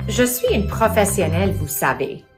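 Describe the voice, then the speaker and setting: A woman's voice: medium pitch, expressive, normal speed. A woman teacher, a native English speaker with an English-speaking accent in French, narrating a video playfully and with self-mockery. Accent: English-speaking learner of French. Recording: music playing behind.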